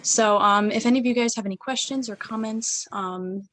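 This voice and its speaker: A woman's voice: on the high side, normal speed, fairly bright tone, no room sound, low-quality recording. A young woman, a student theater club officer, hosting a video-call panel with lots of hesitant ums.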